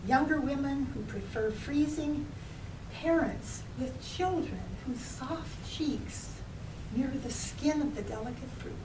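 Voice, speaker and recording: A woman poet reading her own work to an audience, phrasing it in a measured, deliberate way. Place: public library reading room. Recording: roomy, distant mic, noisy with rumble.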